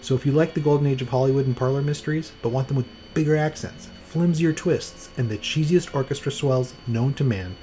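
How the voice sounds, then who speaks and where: A man's voice: medium pitch, a little fast, hardly any pauses, warm tone, poor audio. A man hosting a podcast with scripted, playful narration in a wry, tongue-in-cheek manner. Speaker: podcast host. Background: music.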